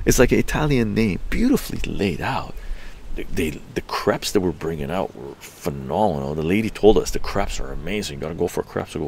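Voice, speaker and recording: An older man from Canada, livestreaming from his outdoor patio, telling a story in an animated, laughing way with crude language. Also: gravelly, slight background noise. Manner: animated, laughing, swearing, acts out quotes in higher voices. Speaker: livestream host. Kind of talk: casual storytelling to a live chat.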